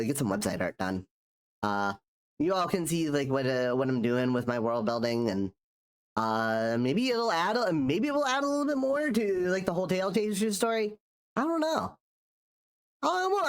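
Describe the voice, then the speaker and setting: A man live-streaming while drawing, chatting casually and rambling, restarting his sentences, sounding nasal from a cold. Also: fairly high pitch. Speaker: streamer and artist.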